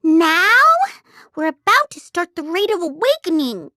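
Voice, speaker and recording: A woman's voice: very high-pitched, big pitch swings, a little slow, pushed and bright, dry recording, studio quality, exaggerated voice. A woman voicing a tiny, bossy, impatient fairy guide in a video game, chirpy and emphatic. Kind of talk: English video game voice acting.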